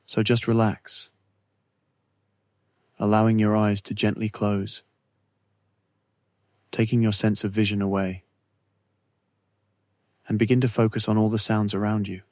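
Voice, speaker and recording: A man narrating a scripted guided meditation in a slow, measured, soothing way with long pauses. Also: on the low side, little pitch movement, darkish tone, no echo.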